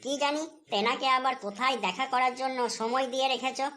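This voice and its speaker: Woman acting as touchy housewife, high-pitched, accusatory, sarcastic, suspicious, comedy cartoon. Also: steady tone, quick pace, bright, forceful tone. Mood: bitter, suspicious. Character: nagging, suspicious, quick-to-take-offence Bengali housewife.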